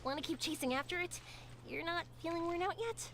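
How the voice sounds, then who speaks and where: A woman's voice: extremely high pitch, fairly quick, background noise. A bubbly cheerful young woman. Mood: playful. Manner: bubbly, encouraging. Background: game music.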